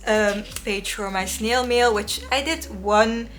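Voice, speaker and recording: A woman's voice: medium pitch, slow pace, fairly bright tone, faint music behind. A young woman whose first language is Dutch, chatting casually and self-deprecatingly while flipping through her sketchbook. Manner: chatty, self-deprecating, frequent little laughs. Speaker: artist vlogger. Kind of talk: casual sketchbook tour voice-over.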